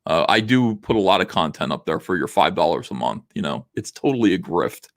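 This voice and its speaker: A man in his forties hosting a casual livestream, reading viewer messages aloud, rambling with lots of fillers while his voice is going rough. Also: low-pitched, very animated, fairly quick. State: losing his voice. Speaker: livestream host. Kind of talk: casual livestream chat reading super chats.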